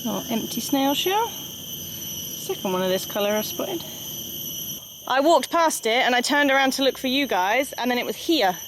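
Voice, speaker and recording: Chatty woman from the UK, high-pitched. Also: animated, moderate pace, long pauses, background noise. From United Kingdom. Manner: chatty, curious, upbeat. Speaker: vlogger. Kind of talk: casual travel vlog narration. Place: outdoors on a nature trail.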